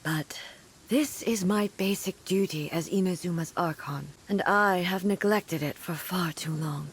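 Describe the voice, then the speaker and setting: Solemn, grief-burdened warrior goddess. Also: female voice, fairly low pitch, breathy edge. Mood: solemn regret. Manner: formal, grave, measured speech. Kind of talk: scripted video game character acting.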